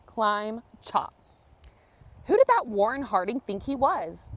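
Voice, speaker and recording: A woman reading a children's picture book aloud, telling the story expressively with dramatic emphasis and pauses. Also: a little high. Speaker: storybook reader, museum manager.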